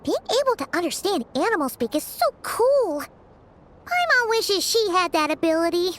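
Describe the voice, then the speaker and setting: A woman voicing a tiny, excitable, childlike fairy companion in a video game, with squeaky, exclamatory delivery. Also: normal speed, full character voice. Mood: curious, wistful. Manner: exclamatory, refers to self in third person. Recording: music behind the voice.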